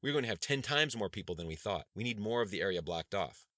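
A man acts out a brash young student activist for an audiobook. He is confrontational and demanding, with a bright, forceful edge. The sound is dry and close. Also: fairly low pitch, expressive, quick pace, no echo, voice pushed up. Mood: indignant, demanding. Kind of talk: acted audiobook dialogue.

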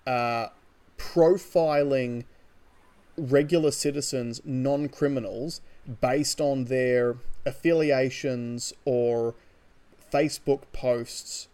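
An Australian man with a medium-pitched voice talks as a podcast co-host. He speaks casually but with opinion, leaning on and repeating words for emphasis. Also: steady tone, slow pace, a little mellow. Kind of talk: casual opinionated political discussion.

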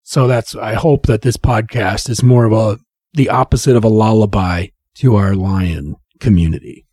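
A man's voice: lowish voice, darkish tone, husky, dry recording, studio quality. A man co-hosting a casual podcast, rambling and cursing, losing his voice to a cold. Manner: rambling, profane, restarts and drawn-out phrases. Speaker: podcast co-host.

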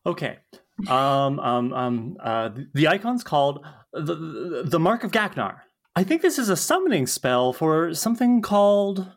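A woman playing an enthusiastic, anxious young college witch in a podcast table read, dramatic and halting when flustered. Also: low voice, sing-song, heavily acted. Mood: nervous explaining.

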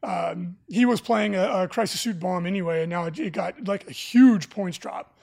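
A raspy-voiced man hosting a casual live stream for a game store, talking enthusiastically with lots of fillers. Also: sits high, moderate pace, darkish tone. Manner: enthusiastic, rambling with many fillers. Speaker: livestream host for a game store.

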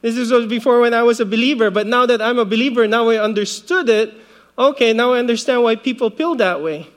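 High pitched man in his 40s from the philippines, easygoing sermon, chatty and personal. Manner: conversational preaching with light laughter and asides. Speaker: pastor.

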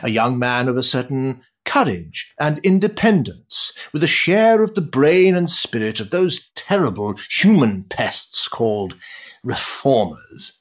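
Man acting cynical aristocratic uncle, period drama, pompous, husky, widely swooping intonation, muffled recording. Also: mid-range voice, big pitch swings, measured pace. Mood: suave, condescending. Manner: pompous, ornate, sardonic phrasing. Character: urbane, cynical older English aristocrat, a duke and uncle. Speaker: actor.

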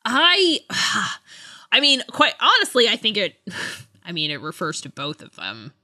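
A woman's voice: mid-range voice, wildly swooping pitch, a little slow, sharp tone. A chatty, giggly woman co-hosting a casual podcast discussion about books. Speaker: book podcast co-host.

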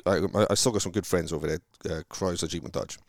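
Man, Welsh accent, very low voice, dry sound, halting restarts, casual chat. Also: steady tone, dry recording. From Wales. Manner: rambling, halting, frequent restarts. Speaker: car salesman guest. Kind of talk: casual conversation.

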